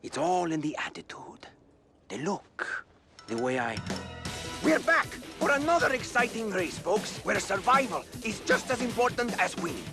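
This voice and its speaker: A high, squawky, cocky male bird commentator from a video game. He gossips and announces with big swings in pitch, over background music. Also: sing-song, normal speed. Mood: showy. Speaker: race commentator. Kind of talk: video game cutscene acting.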